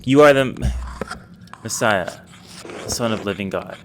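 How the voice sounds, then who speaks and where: A man's voice: on the low side, lively intonation, a little slow, a little hiss or hum. A man voicing a solemn monk who reads scripture formally in a video game. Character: solemn monk reading scripture aloud at table. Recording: music under the voice.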